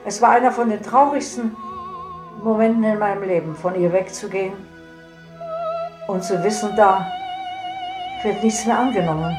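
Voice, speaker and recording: A woman's voice: medium pitch, moderate pace, long pauses, over music. An older woman reminiscing wistfully in a personal, conversational way.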